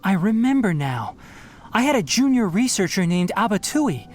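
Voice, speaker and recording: A woman's voice: medium pitch, sing-song, moderate pace, natural voice. A woman voicing a composed young male scholar in an anime-style video game, articulate, matter-of-fact and a little dry. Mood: explaining. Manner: explanatory, articulate, slightly dry. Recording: soft music behind.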